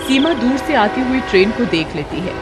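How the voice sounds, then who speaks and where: A woman's voice: on the high side, lively intonation, moderate pace, noisy background. A woman giving scripted narration of a horror story.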